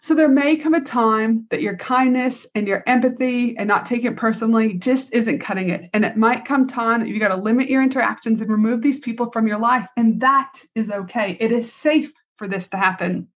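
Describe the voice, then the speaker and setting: A Canadian-accented woman with a fairly high voice hosts a motivational business podcast on her own. She speaks bluntly and emphatically, in casual, punchy language. The recording sounds slightly dull and lo-fi. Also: brisk, few pauses. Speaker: podcast host.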